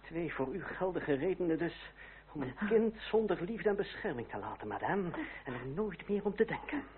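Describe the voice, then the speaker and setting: A narrow, faintly noisy old radio recording. a proud, wounded young revolutionary with a very animated pitch. He is formal, cutting and sardonic, every phrase edged with reproach. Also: moderate pace. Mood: cold, bitter. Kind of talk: old radio play acting.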